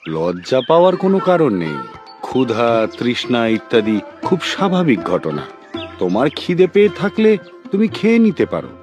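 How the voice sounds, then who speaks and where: An actor playing a strict, principled guru in a cartoon, lecturing in a formal, measured and stern manner. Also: male voice, medium pitch, wildly swooping pitch. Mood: calm. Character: strict, principled old male guru of a forest school. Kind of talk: dubbed cartoon acting. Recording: music behind the voice.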